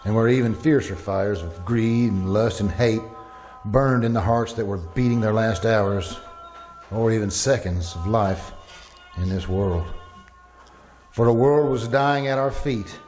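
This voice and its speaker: A man's voice: fairly low pitch, a little slow, a little mellow, soft background music, lightly acted. A man acting a grave, hesitant survivor who narrates the end of the world in an old-time radio thriller. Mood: somber.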